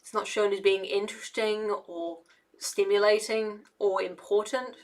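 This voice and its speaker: A woman's voice: medium pitch, fairly even intonation, normal speed, darkish tone. A young woman, an engineer, giving casual commentary to camera in a hesitant, rambling way with many fillers and restarts. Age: young adult. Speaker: engineer and video essayist.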